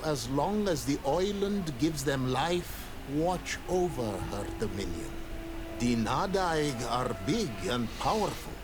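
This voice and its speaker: A man's voice: on the high side, noisy background. An actor playing a guarded, solemn island native man in a video game, speaking formally and sternly. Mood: solemn, reverent. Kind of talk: video game character dialogue.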